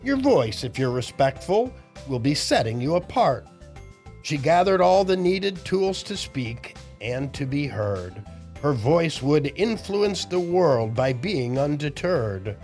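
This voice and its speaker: A man's voice: on the high side, very animated, slow pace, darkish tone, soft background music. An older man hosting a children's storytime, reading rhymes and chatting directly to young listeners in a theatrical, cheery way. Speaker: children's storytime host and entertainer. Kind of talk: rhyming read-aloud narration and cheery chat to children.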